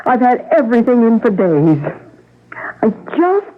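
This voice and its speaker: A woman's voice: fairly high pitch, big pitch swings, a little slow. Fretful older mother. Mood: fretful, excited. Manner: fretful, fussy. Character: fretful older mother awaiting her grown daughter. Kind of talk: radio drama acting. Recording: tinny old radio broadcast.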